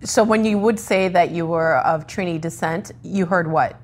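A woman hosting a broadcast interview, delivering scripted introductions in a measured way. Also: lowish voice. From Africa. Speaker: host.

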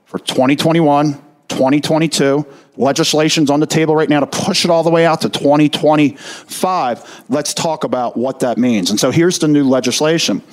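A middle-aged man, a retirement financial advisor, explaining to listeners with energy and slowing down to stress key figures. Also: on the high side.

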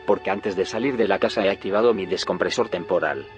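An actor playing a calm, secretive male scientist in an audio drama, speaking in a measured, cryptic, explanatory way. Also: deep voice, faint music behind, lightly acted. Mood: calm, knowing.

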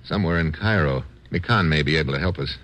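An actor playing a tough, wry American adventurer in a 1950s radio drama, narrating and talking in terse, deadpan lines. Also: male voice, rumbling low, fast. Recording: old radio recording with music.

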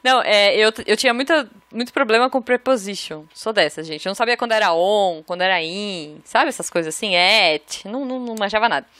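A young adult woman with a Brazilian accent co-hosting a casual podcast, giggly and talking in quick excited bursts. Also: medium pitch, no room sound. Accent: Brazilian Portuguese. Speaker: podcast co-host. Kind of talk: casual podcast chat with sponsor read.

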